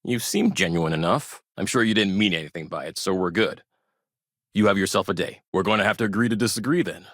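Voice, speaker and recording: Video game colony farmer, stern guarded family man. Lowish register, very animated swings, plain folksy manners. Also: fairly quick, no echo, clean studio sound. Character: protective, wary traditionalist farmer and family man in a space colony. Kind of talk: video game character dialogue.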